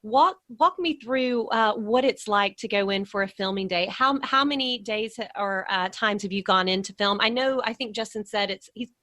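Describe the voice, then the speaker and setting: A woman's voice: mid-range voice, normal speed, hardly any pauses, pushed and bright, no room sound. A woman hosting a podcast interview in a casual, conversational way, enthusiastic and encouraging toward her guest.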